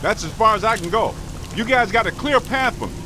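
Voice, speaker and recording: A man's voice: high-pitched, animated, brisk, background noise. An actor playing an easygoing, wisecracking working-man ghost hunter in a video game, colloquial and wry. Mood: casual, practical.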